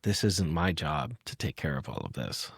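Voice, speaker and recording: A man's voice: a bass voice, sing-song, normal speed, vocal fry, husky, no room sound, clean studio sound. A middle-aged man hosting a reflective poetry podcast conversation, musing in sentences that often trail off. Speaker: podcast host.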